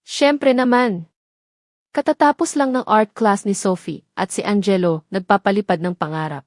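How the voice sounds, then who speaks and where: A woman's voice: mid-range voice, expressive, normal speed, no echo. Female Filipino narrator for audio drama fiction, mid-range voice, dry close sound. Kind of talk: scripted dramatic story narration.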